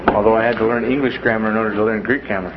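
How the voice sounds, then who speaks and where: A man's voice: fairly low pitch, fairly even intonation, very fast. A man teaching a Bible class in a classroom in a folksy way, with joking asides. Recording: dull lo-fi classroom recording with faint noise.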